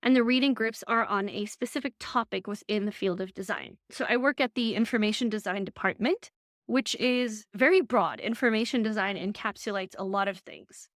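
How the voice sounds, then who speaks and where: A young woman vlogging casually from her living room, chatty and animated, with quick asides, while recovering from an illness. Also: medium pitch, no room sound, studio quality. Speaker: vlogger and design lecturer. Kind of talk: chatty casual vlog update.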